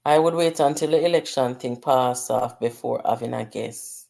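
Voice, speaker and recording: A woman's voice: a bass voice, normal speed, creaky, a little mellow. A middle-aged Jamaican woman hosting a casual livestream. She chats with viewers, reads out their comments and slips between patois and English. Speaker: livestream host.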